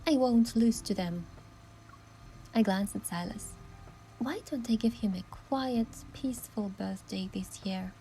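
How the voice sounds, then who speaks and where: A woman playing a gentle, thoughtful young heroine, narrating her game story softly and in measured scripted first person. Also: mid-range voice, slight background noise, natural voice, rough recording.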